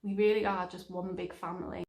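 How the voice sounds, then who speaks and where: A woman's voice: lowish voice, level tone, fairly quick, a little mellow. A woman giving a personal testimonial as an amateur theatre member, recorded in an echoey room. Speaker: amateur pantomime company member. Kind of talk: testimonial to camera.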